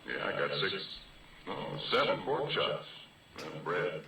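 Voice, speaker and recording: An actor playing a folksy, easygoing oil-rig hand in a 1940s radio drama, talking in plain, ungrammatical colloquial speech. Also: male voice, low-pitched, very animated, unhurried, vocal fry, a crowd in the background, exaggerated voice. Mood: easygoing, hospitable. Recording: old radio drama, roomy, distant, hissy.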